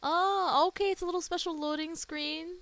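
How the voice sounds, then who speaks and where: A young woman streaming a live reaction to a game, gushing with excitement as she plays. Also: very high-pitched, normal speed, noisy background.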